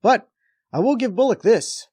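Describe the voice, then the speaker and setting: A man's voice: very high-pitched, lively intonation, moderate pace, darkish tone, husky, no echo, clean studio sound. A man hosting a review show, delivering scripted comedic recap narration with a wry, sarcastic edge.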